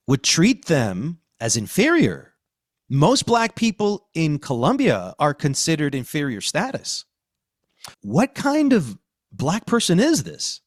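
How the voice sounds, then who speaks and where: A man's voice: a little high, breathy edge, dry recording, studio quality. A middle-aged man from Queens, New York, hosting a casual podcast discussion with emphatic, slangy talk. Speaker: podcast host.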